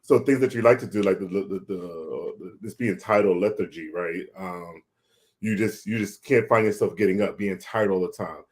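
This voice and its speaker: A man talking casually and telling a personal story in a halting way, repeating words as he thinks aloud. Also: sits low. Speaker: guest sharing a personal health story.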